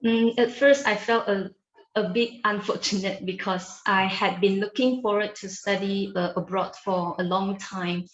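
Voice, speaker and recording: A woman's voice: mid-range voice, steady tone, muffled recording. Young woman in an echoey room. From Malaysia. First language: Chinese. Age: young adult. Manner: careful, with many hums and pauses. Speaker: interviewee, university student. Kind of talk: answering interview questions.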